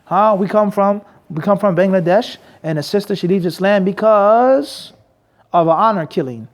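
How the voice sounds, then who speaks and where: High-pitched male teacher, punchy rhetorical style, mosque talk. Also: moderate pace. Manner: emphatic, asks rhetorical questions to the crowd. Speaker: Islamic teacher. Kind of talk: lecture with Q&A.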